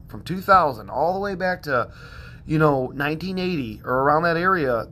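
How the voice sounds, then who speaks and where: A man's voice: a little high, fairly bright tone, slight background noise. A man hosting a self-help podcast, talking in a rambling, conversational way with plenty of fillers and a soothing tone. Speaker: podcast host.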